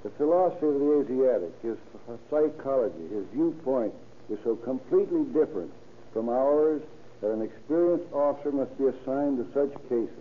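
A tinny old radio recording with faint noise carries a man's mid-pitched voice. He gives a formal, measured address as a public official, reading from a script. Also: lively intonation. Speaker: county sheriff, guest speaker.

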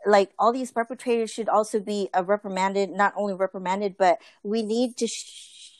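A woman's voice: medium pitch, fairly even intonation, normal speed, dry recording. A woman in a casual group conversation, talking earnestly with many fillers and restarts. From Filipino family.

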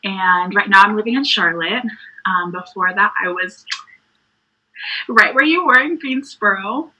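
A woman's voice: mid-range voice, measured pace, slightly rough, lo-fi sound. A woman in her twenties from Puerto Rico, chatting casually as a podcast guest about her faith, laughing easily and using lots of fillers. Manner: warm, laughing, filler-heavy.

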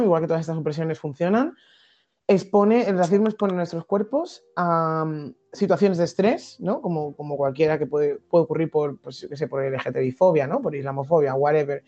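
A young woman from Madrid with a Madrid accent, a podcast guest explaining her ideas thoughtfully, with many pauses and restarts. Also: low-pitched, warm tone, dull audio. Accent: Madrid Spanish. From Madrid, Spain. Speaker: guest activist. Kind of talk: podcast interview.

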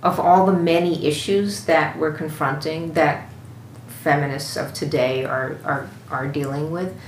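A woman's voice: low voice, steady tone, measured pace. A woman guest commentator musing emphatically and off the cuff, as in an interview. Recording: echoey room with faint noise.

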